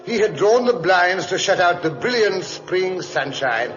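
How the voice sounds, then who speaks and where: An actor playing a genial, fussy older English gentleman who tells stories in 1940s radio style with a British accent. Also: high voice, animated, unhurried, music in the background. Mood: storytelling. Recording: muffled, noisy vintage radio recording.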